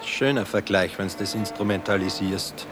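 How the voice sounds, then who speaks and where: A man's voice: low voice, normal speed, close to an everyday voice. An actor playing a dry, skeptical man who makes wry challenges in a conversational radio play, with music behind him.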